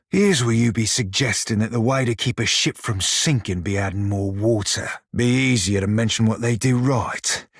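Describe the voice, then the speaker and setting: Game role: roguish furry sailor, husky growl, bawdy and sardonic, mid pitch, close dry sound. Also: male voice, moderate pace, dry recording. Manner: salty, colloquial pirate talk, wry and crude. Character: roguish, bawdy, sardonic blue-furred pirate sailor creature. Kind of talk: video game character dialogue and barks.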